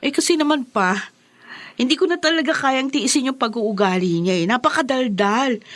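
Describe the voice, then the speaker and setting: A young daughter-in-law in a radio drama. Her voice sits fairly high, and she talks in a halting, reluctant way with bits of English mixed in. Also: lively intonation. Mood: sullen, complaining. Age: young adult. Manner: hesitant, mixing in English words. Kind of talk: Tagalog radio drama acting.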